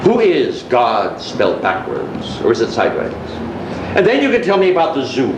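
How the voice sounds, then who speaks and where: A man's voice: mid-range voice, huge pitch swings, normal speed. Echoey, mocking middle-class man played on stage. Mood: mocking, needling. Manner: theatrical, sarcastic, needling. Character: mocking, menacing middle-class married man on a park bench. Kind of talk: stage play acting. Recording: echoey, distant, noisy live stage recording.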